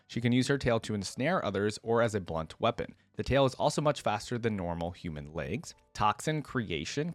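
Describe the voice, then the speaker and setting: A man's voice: medium pitch, no echo. A man hosting a reaction video in an informal, chatty style, commenting and reading lore aloud for viewers.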